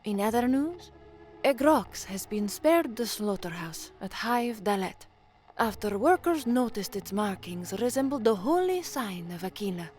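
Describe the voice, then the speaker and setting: A woman's voice: medium pitch, expressive, measured pace, a little mellow, lightly acted. An actress playing a hopeful, earnest young woman newsreader from the slums in a science fiction audio drama. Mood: composed, formal. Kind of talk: audio drama acting, newsreading and first-person narration.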